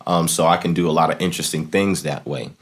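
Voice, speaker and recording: A man in his thirties hosting a casual instructional show, talking to camera in a halting way as he searches for words. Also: a bass voice, fairly even intonation. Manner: halting, searching for words, often saying "you know". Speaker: host of a keyboard and talk box tutorial show. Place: small plain indoor room, home studio setup.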